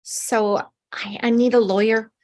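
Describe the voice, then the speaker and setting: A fairly high-pitched female doctor, played by a woman at a table read, anxious and flustered. She speaks apologetically, as a figure in a TV drama script. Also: little pitch movement, a little slow, darkish tone, lightly acted. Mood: anxious, confessing. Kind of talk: acted script reading.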